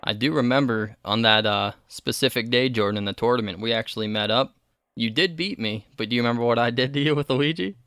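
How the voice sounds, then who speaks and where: Man, 30s, podcast co-host; gravelly, relaxed delivery. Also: medium pitch, fast, no room sound, studio quality. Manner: relaxed, reads listener mail aloud. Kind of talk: casual chat.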